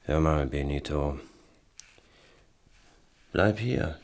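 An actor playing a gruff, distrustful, embittered male recluse and inventor in a German radio play. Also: extremely low pitch, extremely slow, darkish tone, exaggerated voice. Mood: confiding, subdued. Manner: snappish, distrustful.

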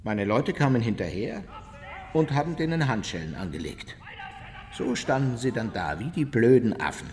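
An actor in a radio play voicing an unrepentant, boastful former SS officer who recounts events in a matter-of-fact, self-justifying way. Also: male voice, medium pitch, sing-song, moderate pace, a little mellow. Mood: callous, dismissive.

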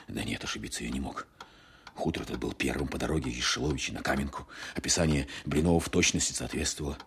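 An actor playing a shrewd wartime officer, thinking aloud in measured, reflective radio-play narration. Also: male voice, extremely low pitch, a little hiss or hum. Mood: troubled, suspicious. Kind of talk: dramatic first-person narration, radio play. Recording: radio drama with music underneath. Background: clear music.

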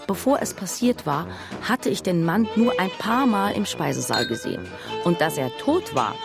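An actress playing a wry, observant woman who recounts childhood memories in a husky voice, as radio drama narration. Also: low-pitched. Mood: wry, reflective. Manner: measured, dry, wry storytelling. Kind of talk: scripted first-person radio drama narration.

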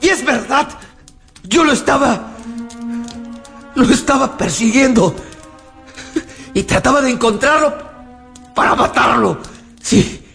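An actor in a radio drama playing an exhausted, frozen man obsessed with revenge, halting and anguished as he repeats himself. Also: very high voice, animated, creaky, fairly bright tone, faint music behind, full character voice. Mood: anguished, desperate.

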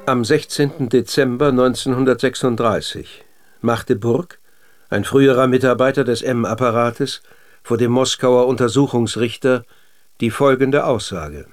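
A man, an actor narrating case files in a documentary radio drama. His pitch is average and his delivery is sober and scripted. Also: a little slow, soft background music, natural voice.

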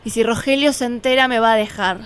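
A woman's voice: a little high, fast, clear, resonant tone, lightly acted. A woman playing an earnest, secretive, lovestruck girlfriend in a comedy radio play. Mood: earnest, pleading.

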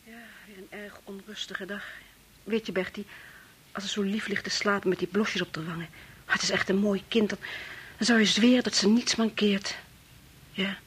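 A woman's voice: medium pitch, steady tone, moderate pace, slight background noise, lightly acted. An actress playing an anxious, kindly wife and mother in a Dutch radio play, chatty and a little hesitant. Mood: worried, wistful.

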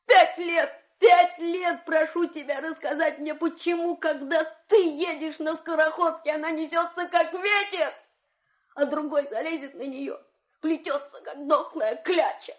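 A stubborn farmer's wife from a folk-tale audio play. Her voice is high, her delivery theatrical, made for nagging and complaint. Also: a little slow. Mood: accusing, whining. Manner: whiny, theatrical.

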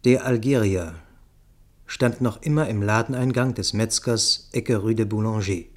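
A man acting as a storyteller in a radio play, with a fairly low voice. He recounts events in sober, measured literary prose, staying matter-of-fact throughout. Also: lightly acted. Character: sober male first-person narrator recounting a tense night. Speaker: narrator.

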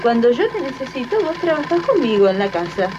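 A woman's voice: a little high, big pitch swings, fairly quick. Haughty, bossy Argentine lady boss, acted by a woman. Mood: imperious, threatening. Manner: imperious, impatient. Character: bossy, haughty employer's wife, manipulative and threatening. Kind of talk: 1980s educational radio drama acting. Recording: dull, noisy 1980s archive radio tape.

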